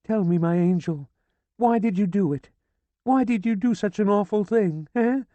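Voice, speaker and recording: A man's voice: expressive, unhurried, dark tone, dry recording, muffled recording. A man reading an audiobook, voicing a hysterical older woman who wails, pleads and screams in grief. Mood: pleading. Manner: shrill, frantic pleading and screaming. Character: hysterical grieving older woman, wailing and shrieking. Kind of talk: audiobook character voice.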